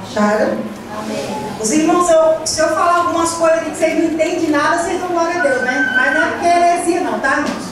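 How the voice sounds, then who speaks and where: A woman's voice: extremely high pitch, fast, noisy background. A woman pastor from Ceará with a Cearense accent preaching a fervent sermon, leading call-and-response and drifting into sung phrases. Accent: Cearense Portuguese. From Ceará, Brazil. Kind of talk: Pentecostal sermon. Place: large church hall. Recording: echoey church hall from far off. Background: music and congregation.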